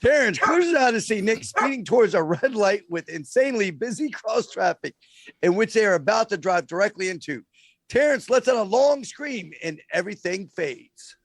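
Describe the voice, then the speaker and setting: A gravelly male narrator with a high voice for a man, reading scene directions. His pitch moves around a lot, and the sound is dry and close. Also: animated, moderate pace, no echo. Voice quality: gravelly, smoker-like. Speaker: co-host and narrator.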